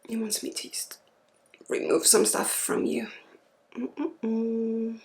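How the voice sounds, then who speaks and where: A woman gives a spiritual ASMR healing session, speaking softly and informally with pauses and often dropping into a breathy whisper. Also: on the high side, flat delivery. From Sweden. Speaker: spiritual healer and ASMR host.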